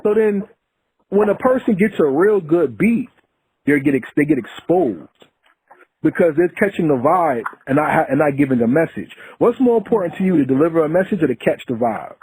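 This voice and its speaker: A man hosting an interview with a husky, breathy voice that rises and falls a lot. He asks his questions earnestly and tends to preach. The sound is dry, close and a little muffled. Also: on the high side, animated, moderate pace, a little mellow, dry recording. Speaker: podcast host. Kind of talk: casual interview.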